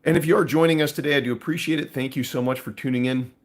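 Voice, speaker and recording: A man with a hoarse, raspy voice hosting a live stream, talking through market news casually to viewers. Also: medium pitch, fairly even intonation, racing. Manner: conversational, with frequent fillers and asides to viewers. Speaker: host of a precious metals live stream.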